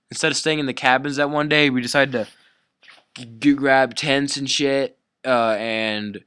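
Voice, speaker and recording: A young man with a clean, smooth voice and a dry, close sound. He tells a story casually, rambling along in slangy, swear-heavy speech. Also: medium pitch, fairly bright tone, dry recording. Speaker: YouTube storyteller.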